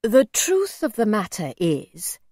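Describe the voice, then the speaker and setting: A woman voicing a courteous old gentleman, lively swooping pitch. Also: slow, no room sound, studio quality, exaggerated voice. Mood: apologetic, coaxing. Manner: well-spoken, courteous, old-fashioned phrasing. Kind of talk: storybook character voice.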